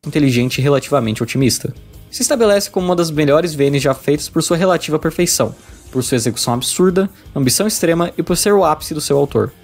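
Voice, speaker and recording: Brazilian dude doing a game review voiceover, slightly high voice, super opinionated, some music under it. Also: male voice, expressive, fast, vocal fry. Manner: emphatic, opinionated. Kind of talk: scripted review narration. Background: background music.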